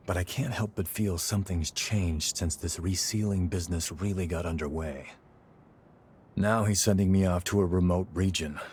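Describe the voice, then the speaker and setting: An actor playing a suspicious warrior in a game cutscene, serious and confiding, as to an old friend. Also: male voice, deep voice, darkish tone, a little hiss or hum, lightly acted. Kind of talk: dramatic game cutscene dialogue.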